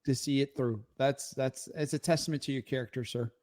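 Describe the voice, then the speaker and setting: A man's voice: medium pitch, fairly even intonation, a little fast, a little mellow. A man co-hosting a podcast who talks bluntly and profanely in casual political banter. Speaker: podcast co-host.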